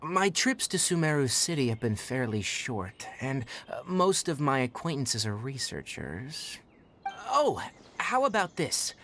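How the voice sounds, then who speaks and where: Composed, articulate young ranger. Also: male voice, medium pitch, huge pitch swings, a bit bright, a little hiss or hum, heavily acted. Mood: helpful, explanatory. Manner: measured, articulate, matter-of-fact. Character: composed, astute young male forest ranger mentor. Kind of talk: video game voice acting. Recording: background music under the voice.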